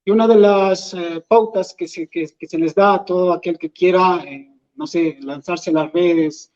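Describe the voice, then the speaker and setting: A man from Peru, a Quechua speaker, giving an earnest, halting talk as an invited speaker at an event. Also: high voice, fairly even intonation, a little mellow. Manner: earnest, halting, thinks aloud. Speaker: invited speaker, language educator. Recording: dull lo-fi event recording.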